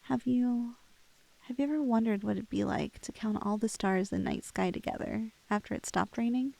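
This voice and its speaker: A woman's voice: on the high side, moderate pace, vocal fry, dark tone. A woman playing a lovestruck, clingy young woman in an intimate ASMR roleplay, playful and hesitant, with a teasingly unhinged streak. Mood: wistful.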